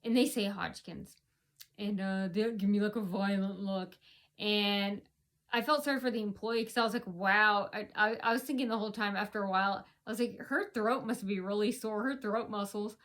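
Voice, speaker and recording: A woman's voice: medium pitch, normal speed, a bit bright. A woman vlogger talking straight to her viewers in a long, rambling monologue, full of fillers and restarts.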